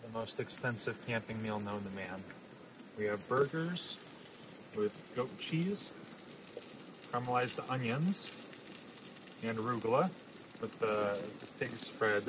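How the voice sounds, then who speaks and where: A young man giving casual travel commentary on a road trip, relaxed and chatty like a tour guide. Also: on the low side, takes it slowly, pauses often. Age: young adult. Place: inside a moving truck and at outdoor campsites. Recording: distant voice over loud road and outdoor noise.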